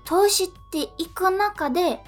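Lively woman in polite radio chat. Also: very high-pitched, measured pace, soft background music. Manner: polite, responsive conversational delivery. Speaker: radio show co-host and stage actress.

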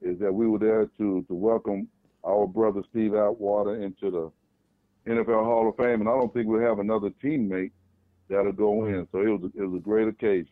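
Male co-host, mid-pitched, lively storyteller, phone-line sound. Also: brisk, dry recording. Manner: animated storytelling. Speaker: podcast co-host. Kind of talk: casual chat. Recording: tinny phone-like line, dry.

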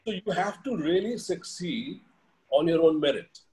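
An Indian-accented man in his forties with a fairly high voice. He tells stories conversationally as a guest, laying out his reasoning step by step in a reflective way. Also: animated, normal speed, crowd noise, rough recording. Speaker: interview guest, HR leader. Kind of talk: conversational personal storytelling.

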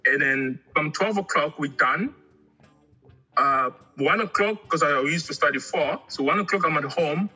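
A middle-aged man from Angola, raised in Congo, chatting casually as an artist guest in an interview, halting and often repeating words. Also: on the high side, bright, forceful tone, breathy edge, highs cut off.

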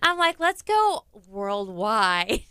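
A woman in her thirties chatting casually as a co-host, animated and laughing often. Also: medium pitch, slow pace, clear, resonant tone, no echo, studio quality. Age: 30s. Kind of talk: casual chat.

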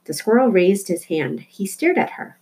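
Slightly husky woman reading a storybook. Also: medium pitch, sing-song, moderate pace, a little mellow, rough recording. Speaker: storybook reader. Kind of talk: scripted read-aloud narration of a children's novel.

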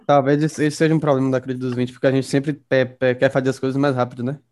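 A man's voice: medium pitch, flat delivery, quick pace, darkish tone. A young Brazilian man in his twenties in casual podcast chat, laid-back and slangy, tripping over words now and then. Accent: Brazilian Portuguese. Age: 20s. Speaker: podcast co-host.

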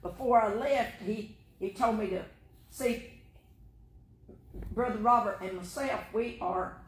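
A woman co-hosting a casual chat, warm and quick to laugh. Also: a little high. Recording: echoey room with faint noise.

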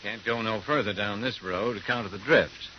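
An actor playing a rural sleigh driver with rough country speech, in an old radio drama. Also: male voice, on the low side, normal speed, lightly acted. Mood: plain. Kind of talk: 1940s radio acting. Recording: dull old radio recording with faint noise.

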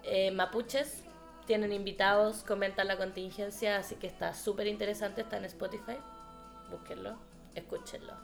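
A woman's voice: mid-range voice, flat delivery, moderate pace, soft background music. A Chilean woman hosting a podcast in a casual, chatty style. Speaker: podcast host. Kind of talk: casual chat.

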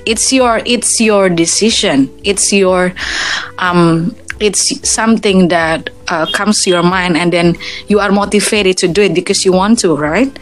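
A woman's voice: lowish voice, unhurried, soft background music, rough recording. A woman from Indonesia with an Indonesian accent, giving earnest advice in casual English chat, often restarting and repeating words.

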